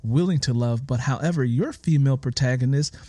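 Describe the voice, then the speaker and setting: A man hosts a thoughtful interview in a medium-pitched, mellow voice. His phrasing is deliberate and wordy. The sound is dry, with very little room echo. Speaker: interview host.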